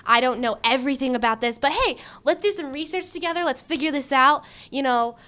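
A young woman from Michigan answering interview questions in a chatty, animated way with lots of ums and likes, into a handheld mic in an indoor room. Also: high voice, a little fast. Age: young adult. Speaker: job candidate. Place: indoor room before a banner. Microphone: handheld mic held by the interviewer.

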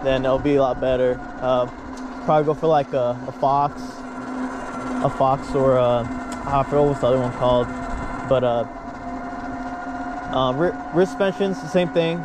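A male vlogger talks casually while riding outdoors on city streets. His voice is mid-pitched and his manner is easygoing. Loud wind and vehicle noise runs behind him throughout. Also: moderate pace, long pauses. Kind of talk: casual ride-along vlog chat.

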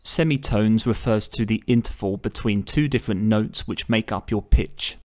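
A man with a fairly low voice walks through a software tutorial step by step. His delivery is measured and instructional, like a channel host explaining each click. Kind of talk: step-by-step screen tutorial narration.

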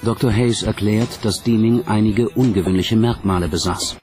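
A man's voice: on the low side, steady tone, moderate pace, darkish tone. A man reading scripted documentary narration as the narrator. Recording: music bed under narration. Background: background music.

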